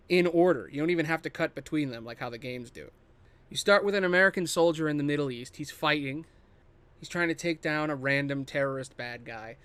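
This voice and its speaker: A man with a fairly high voice talks through ideas alone as a podcast host. His delivery is casual and unscripted, thinking out loud, cutting himself off and swearing freely as he goes. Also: dry recording. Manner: rambling, thinking aloud, self-interrupting; casually profane. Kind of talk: casual improvised solo monologue pitching film adaptations.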